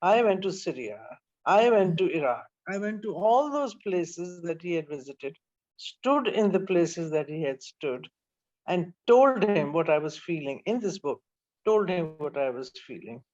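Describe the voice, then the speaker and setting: A woman's voice: lowish voice, animated, darkish tone, dull audio. Older woman telling her story. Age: 70s. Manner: reflective storytelling, many pauses and restarts. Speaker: author. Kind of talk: interview talk about her book.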